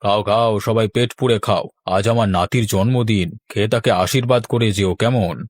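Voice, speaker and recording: A man's voice: fairly low pitch, steady tone, a little fast, dry recording, close to an everyday voice. Man playing a hospitable cartoon grandfather, dry sound. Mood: genial, welcoming. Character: generous, hospitable wealthy grandfather hosting a feast. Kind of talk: Bengali cartoon voice acting.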